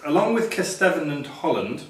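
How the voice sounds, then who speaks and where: A man's voice: a little high, sing-song, brisk. A man reading out quiz questions as quiz master at a live quiz. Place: echoey hotel function room, live quiz. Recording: distant, very echoey room with background noise.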